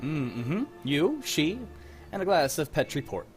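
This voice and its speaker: A man's voice: a little high, very animated, a little slow, soft background music. A man playing an old-time radio announcer with sly, cozy sponsor patter. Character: folksy, smooth-talking old-time radio announcer pitching wine.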